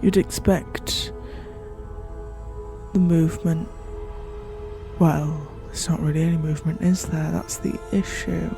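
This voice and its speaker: Horror podcast narrator: very slow, creaky mid-pitched voice, measured and theatrical, musing to the listener, music underneath. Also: fairly even intonation. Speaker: narrator and host of an audio horror fiction show. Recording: music behind the voice. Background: background music.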